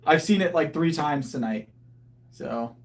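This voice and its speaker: A man's voice: fairly high pitch, animated, unhurried. A man in his thirties chatting casually on a livestream, answering viewers and often restarting his sentences while he thinks aloud. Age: 30s. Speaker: livestreaming powerlifter. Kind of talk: casual livestream chat reading viewer comments.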